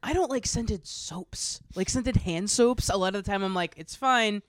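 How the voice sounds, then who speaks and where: A woman's voice: medium pitch, normal speed, no room sound, studio quality. A woman talking casually and playfully as a podcast co-host, conversational and given to acting out her own thoughts.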